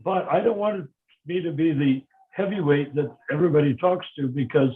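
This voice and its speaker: Older man, higher voice, emphatic and repetitive, on a tinny video call line. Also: normal speed. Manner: emphatic, repeats himself when starting sentences. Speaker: discussion guest. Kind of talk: casual discussion.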